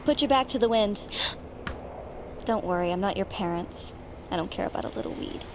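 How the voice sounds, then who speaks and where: A woman's voice: mid-range voice, fairly quick, creaky, background noise, close to an everyday voice. Pushy, eerie teenage girl played by a woman. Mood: casual, sly. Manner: insistent, wheedling. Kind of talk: naturalistic audio drama acting.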